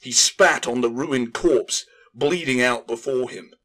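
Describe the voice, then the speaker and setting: A man's voice: medium pitch, measured pace, a bit bright. A man reading scripted fantasy fiction as an audiobook narrator, in a dramatic storytelling style.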